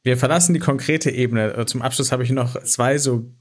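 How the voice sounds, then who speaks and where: A man hosting an interview at an ordinary middle pitch. He puts his long, winding questions in a calm, measured way. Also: slightly rough. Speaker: interviewer, host.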